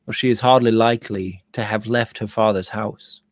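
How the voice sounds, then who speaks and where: A man's voice: lowish voice, steady tone, moderate pace, no echo, clean studio sound, lightly acted. A man reading the part of a brooding, agitated gold prospector in an audiobook, with dramatic, impassioned delivery. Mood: earnest.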